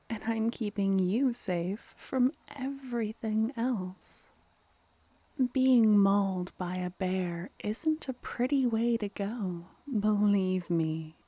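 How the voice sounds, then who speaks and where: Female vampire played by a woman for ASMR fantasy roleplay: regal, mischievous, coaxing, very slow delivery, soft and breathy, close dry sound. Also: mid-range voice, no room sound, heavily acted. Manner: slow, teasing, mock-polite.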